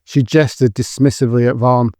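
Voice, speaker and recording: A man's voice: medium pitch, steady tone, brisk, mellow, no echo, studio quality. A man reading scripted dramatic narration as the narrator of an audio drama.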